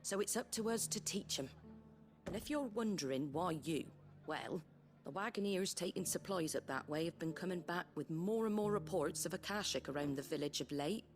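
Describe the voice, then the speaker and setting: An actress voices a practical, caring innkeeper woman from a fantasy game. Her voice sits a little low, with a folksy, regional working-class English accent. She talks bluntly and wryly over a soft bed of music. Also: animated, moderate pace, natural voice. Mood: earnest, concerned. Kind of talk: fantasy video game voice acting. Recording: music behind the voice.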